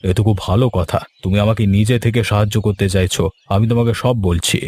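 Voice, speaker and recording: A man voicing a calm, generous and trusting village headman in a cartoon fairy tale, speaking gently and with measured approval. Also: on the low side, husky, no room sound. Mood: trusting, grateful. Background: background music.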